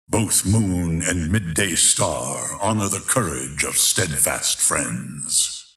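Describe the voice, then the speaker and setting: An echoing, fairly low male voice as a grandiose video game tournament announcer. He is menacing and theatrical, drawing out his words slowly and leaning hard on each one for epic weight. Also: fairly bright tone, exaggerated voice. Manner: theatrical, drawn-out, emphatic. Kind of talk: dramatic scripted fighting-game narration.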